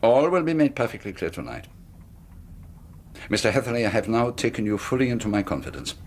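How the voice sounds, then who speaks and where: An actor playing a sinister, secretive middle-aged colonel, talking smoothly and insistently in a radio drama. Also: male voice, lowish voice, sing-song, fairly quick, a little hiss or hum. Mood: persuasive. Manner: smooth, insistent, quietly threatening. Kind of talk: 1980s radio drama acting.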